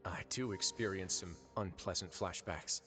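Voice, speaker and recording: A shrewd duke from a video game in a low voice. He speaks in a measured, unhurried way, quietly commanding with dry teasing. Music sits behind the words. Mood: calm, considerate. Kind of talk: dramatic video game voice acting.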